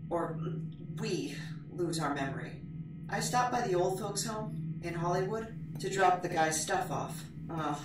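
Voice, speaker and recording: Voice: mid-range voice, lightly acted. An actor playing a dry, rambling amnesiac podcaster confiding in a recorder in an echoey bathroom, as an audio drama. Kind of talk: conversational audio diary in a drama. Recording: very echoey bathroom, distant, noisy.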